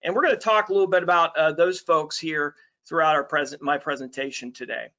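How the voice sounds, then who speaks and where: A man's voice: a little high, steady tone, brisk, bright, forceful tone, lo-fi sound. A middle-aged man from Alabama giving an online basketball coaching talk, friendly and full of fillers. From Alabama, USA. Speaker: basketball coach presenting a webinar. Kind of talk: online presentation.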